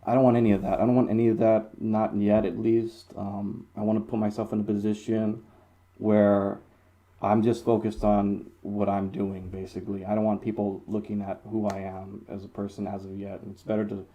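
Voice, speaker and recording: A man's voice: little pitch movement, moderate pace, dark tone, lo-fi sound. A young man telling stories in a casual podcast chat, rambling with restarts and breaking into laughter. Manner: laid-back, rambling with restarts, bursts into high laughter. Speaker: podcast guest, security commander.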